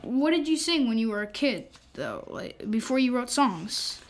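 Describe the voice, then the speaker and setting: A female performer playing a frank, curious twelve-year-old kid in a naturalistic comedy radio play, gently teasing and deadpan. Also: a little high, moderate pace.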